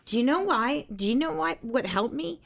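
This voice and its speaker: A middle-aged woman, a mother, in casual chat, loud and assertive, often laughing. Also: sits high, lively intonation, moderate pace, gravelly.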